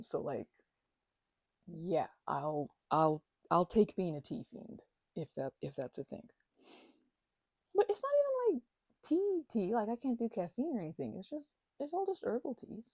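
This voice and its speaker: Casting: actress as female roommate with a migraine, ASMR audio drama. Husky, dry close sound, chatty, rambling, playfully indignant. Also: on the low side, mellow, no echo. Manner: rambling, hesitant, teasing, mock-offended. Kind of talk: intimate ASMR roleplay, improvised-sounding conversation.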